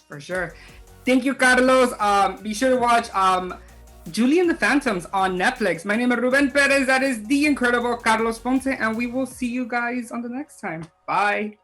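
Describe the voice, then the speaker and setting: A man's voice: extremely high pitch, normal speed, sharp tone, faint music behind. A man hosting a casual interview, asking questions in an informal, hesitant way with loose, filler-heavy phrasing. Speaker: interviewer, host.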